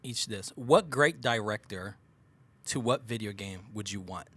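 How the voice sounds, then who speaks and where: A man's voice: on the low side, a little slow, a bit bright. A man hosting a podcast, casually steering the group's conversation. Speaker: podcast host. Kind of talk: casual chat, steering the discussion.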